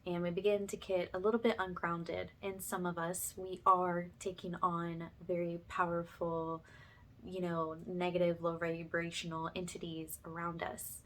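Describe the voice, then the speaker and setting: Woman doing spiritual asmr, kinda low voice, talks right to you, reassuring, lots of little check-ins. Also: little pitch movement, measured pace. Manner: reassuring, addresses listeners directly with check-in tags. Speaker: ASMR spiritual healer and channel host. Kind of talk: ASMR, informal spiritual talk to viewers.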